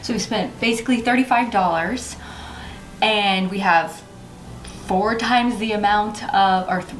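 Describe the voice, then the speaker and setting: A woman's voice: mid-range voice, slight background noise. A woman in her forties hosting a chatty home decor vlog, talking through a table setting in her dining room with a camera across the table. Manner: chatty, bubbly, self-teasing. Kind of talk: casual how-to vlog.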